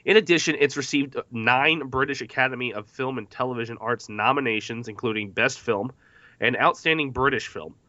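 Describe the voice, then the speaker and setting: A man's voice: medium pitch, a little fast, pushed and bright, dry recording, muffled recording. A man hosting a podcast movie discussion, reading out facts from notes between questions to the panel. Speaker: podcast host.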